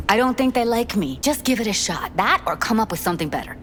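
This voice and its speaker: A woman's voice: on the high side, big pitch swings, fast, a little hiss or hum. A woman playing an anxious, impatient young companion in a video game, fretful and pleading. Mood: worried. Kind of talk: video game character acting.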